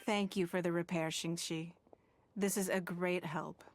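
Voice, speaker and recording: An actor, a woman, playing a poised, gracious and shrewd noblewoman in a video game, composed and measured. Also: lowish voice, fairly even intonation. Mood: composed, businesslike.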